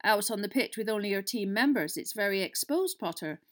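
A woman voicing a stern, serious female schoolteacher in an audiobook, speaking with grave, deliberate authority. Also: medium pitch, lively intonation, natural voice. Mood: measured concern. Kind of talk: audiobook character voice.

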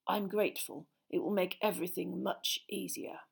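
A woman plays a gaunt escaped prisoner in a storybook. He speaks in a hushed, croaky voice. Every word is a quiet, menacing taunt. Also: fairly low pitch, steady tone, moderate pace, heavily acted. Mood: quietly taunting. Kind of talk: dramatised audiobook character voice.

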